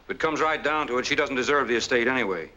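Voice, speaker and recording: A man plays a suspicious, callous schemer from a 1940s crime picture. He talks fast and forcefully on a dull film soundtrack. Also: mid-range voice, lightly acted.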